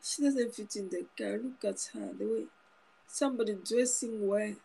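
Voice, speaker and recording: Husky woman preaching earnestly to camera. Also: medium pitch, moderate pace, dark tone, low-quality recording. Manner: earnest, repetitive, restarts phrases. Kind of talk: informal sermon to camera. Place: small indoor room. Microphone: phone camera close up.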